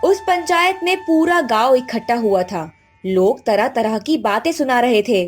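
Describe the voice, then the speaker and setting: A woman's voice: on the high side, expressive, a little slow. A woman narrating a scripted cartoon story as the storyteller. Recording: faint background music.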